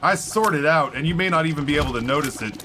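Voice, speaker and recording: A man's voice: fairly high pitch, fast talker. A middle-aged man streaming a video game, rambling on in casual, self-deprecating chat and never letting the talk stop. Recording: music playing clearly behind.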